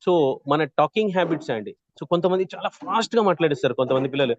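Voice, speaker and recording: A man teaching an interactive online class as a trainer, explaining with examples and often checking in with a quick "right?". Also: on the high side, expressive, dull audio, a little hiss or hum. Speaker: voice modulation trainer.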